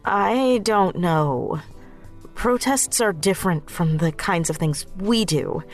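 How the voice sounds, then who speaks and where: A woman playing a cautious, thoughtful member of a covert team, measured and hesitant, in a fiction podcast. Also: fairly low pitch, soft background music.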